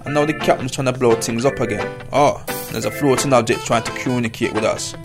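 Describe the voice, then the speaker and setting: A man's voice: mid-range voice, steady tone, fast, natural voice. A man playing a laid-back, muddled stoner crewman in a comedy radio play. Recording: music behind the voice.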